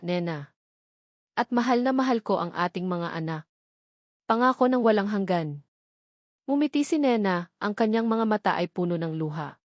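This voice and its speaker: A woman's voice: mid-range voice, no room sound, clean studio sound. A woman with a Filipino accent reading a scripted romance story as its narrator.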